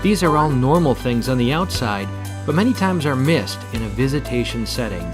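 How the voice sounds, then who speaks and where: A man's voice: mid-range voice, expressive, moderate pace. A man reading a scripted promotional narration. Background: music with guitar.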